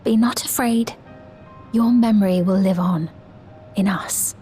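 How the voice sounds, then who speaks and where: An actress playing a gentle, composed woman leader in a game cutscene, speaking formally and with measured reassurance. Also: mid-range voice, soft background music, close to an everyday voice. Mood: solemn, tender. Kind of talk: dramatic video game cutscene acting.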